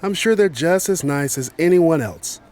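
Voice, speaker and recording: A man's voice: fairly high pitch, expressive, normal speed, darkish tone, lightly acted. A man acting as a blunt, wary manager in an audio drama, speaking emphatically. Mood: grim, defensive. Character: blunt, wary male manager, fearful of mutants. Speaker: general manager.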